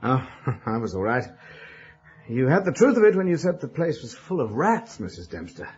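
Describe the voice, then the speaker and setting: An actor playing a studious, polite young English gentleman in a radio drama, educated and rational. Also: medium pitch, big pitch swings, normal speed, slightly rough. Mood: amused. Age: young adult. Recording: dull lo-fi old radio recording.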